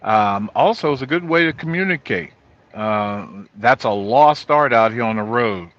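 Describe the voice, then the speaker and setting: A middle-aged or older man, a trucker, giving an informal, halting walkthrough of gear from inside his truck cab. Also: mid-range voice, lively intonation, a bit bright, dry recording. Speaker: truck driver vlogger. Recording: dull lo-fi audio.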